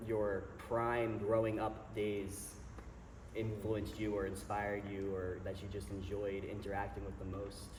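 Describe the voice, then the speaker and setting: A male host and songleader chatting in a relaxed way, laughing easily as he tells a story and asks questions. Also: lowish voice, a little slow. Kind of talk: casual interview chat. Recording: distant mic in echoey hall, faint noise.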